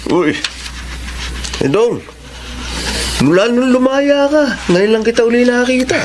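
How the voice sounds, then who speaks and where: Brash, shady crook with swooping pitch, man acting. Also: expressive, a little slow, background noise. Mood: breezy, coaxing. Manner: casual, slangy, coaxing. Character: brash, shady male criminal friend, hired killer. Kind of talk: Filipino melodrama audio acting.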